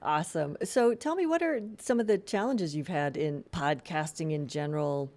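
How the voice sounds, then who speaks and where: A woman's voice: deep voice, animated, normal speed, a little mellow. A woman hosting a casual podcast interview, attentive and encouraging as she puts questions to a guest. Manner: encouraging, attentive, frequent short affirmations.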